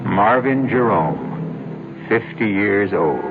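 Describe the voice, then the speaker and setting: A theatrical host narrating the opening of an old-time suspense radio drama. His low, gravelly voice moves very slowly and wryly. Music plays behind him on a tinny vintage broadcast. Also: very animated, full character voice. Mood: ominous, wry.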